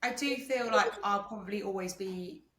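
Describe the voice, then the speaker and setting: A woman's voice: mid-range voice, normal speed. Young woman from england, laid-back podcast chat, lots of "you know"s. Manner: relaxed, reflective, many fillers. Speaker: podcast co-host. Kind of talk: casual chat.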